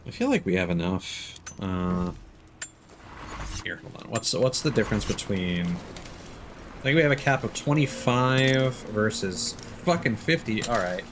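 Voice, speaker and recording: Low-voiced man musing over gameplay. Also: lively intonation, noisy background. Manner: musing aloud, unhurried asides. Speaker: game streamer. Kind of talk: casual livestream gameplay commentary. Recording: game ambience behind voice. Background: faint game ambience.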